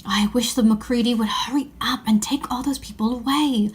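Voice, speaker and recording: A woman's voice: a little high, fairly even intonation, normal speed, slightly rough. A woman voicing a practical, sensible schoolgirl from a children's storybook, with proper old-fashioned phrasing. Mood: excited complaint.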